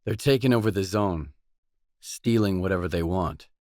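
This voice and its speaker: Male actor as guarded game drifter, low pitch, terse, matter-of-fact, very dry studio-style sound. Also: normal speed, a little mellow, dry recording, natural voice. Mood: measured, probing. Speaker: video game protagonist. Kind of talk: video game dialogue lines.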